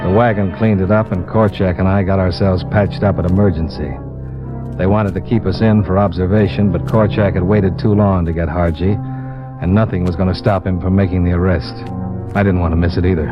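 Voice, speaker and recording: A vintage radio drama recording, muffled, with clear music underneath. A male actor plays a hard-boiled American investigator with a fairly low voice. He tells his case in a clipped, wry, dry way. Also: fairly even intonation, a little fast, lightly acted. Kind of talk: 1950s radio detective narration.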